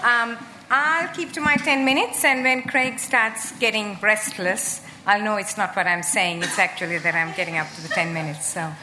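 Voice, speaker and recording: A woman in her sixties from Sri Lanka, giving a humorous, animated public talk as a guest speaker. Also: mid-range voice, normal speed, background noise. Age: 60s. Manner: lively, animated, joking.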